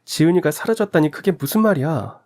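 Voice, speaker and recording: Guy doing a frantic young mom in a radio drama, pitched up, pleading and accusing. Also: expressive, fairly quick, a little mellow, close to an everyday voice. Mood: alarmed. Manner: urgent, pleading exclamations. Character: distraught young mother, anxious and accusing. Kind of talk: Korean story radio drama acting.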